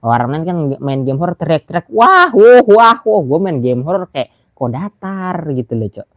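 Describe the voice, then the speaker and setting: A man's voice: on the high side, very animated, fairly quick. A young Indonesian man chatting casually on a game live stream, in slangy speech peppered with mild swearing.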